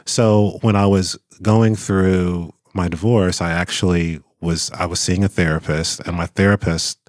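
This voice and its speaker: A middle-aged man with a very deep voice talks openly as an interview guest, thoughtful and candid, often pausing, restarting and laughing. The sound is close and dry, with no room echo. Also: fairly even intonation. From Kentucky, US. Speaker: author and attorney, interview guest. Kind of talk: casual interview conversation.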